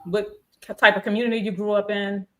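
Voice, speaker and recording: A woman co-hosting an interview, asking thoughtful questions with small pauses. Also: mid-range voice, level tone, sharp tone, low-quality recording. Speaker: co-host.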